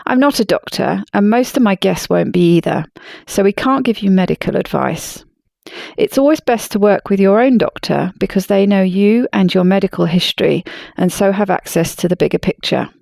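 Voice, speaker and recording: Woman, podcast host, upbeat and chatty, gravelly fry, dry close sound. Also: mid-range voice, animated, moderate pace, darkish tone, no echo. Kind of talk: scripted intro, then casual interview chat.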